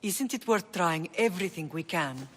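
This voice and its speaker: An actress voicing a middle-aged mother in a game cutscene, gentle but insistent. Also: fairly low pitch, lively intonation, moderate pace, slight background noise, lightly acted. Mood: pleading. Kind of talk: video game cutscene acting. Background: game score music.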